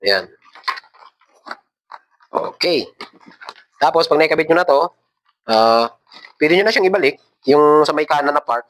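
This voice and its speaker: A Filipino man with a mid-pitched voice hosts a casual how-to. He talks informally, walking the listener through each step while his hands are busy with the work. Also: a bit bright. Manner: informal, instructing step by step while handling parts. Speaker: tutorial host. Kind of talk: casual hands-on tutorial talk.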